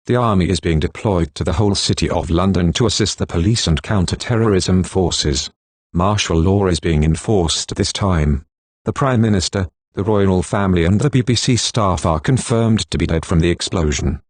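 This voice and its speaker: A man's voice: low-pitched, normal speed, no room sound. A man voicing a stern government announcer, reading a scripted emergency alert in a formal, impersonal, authoritative manner.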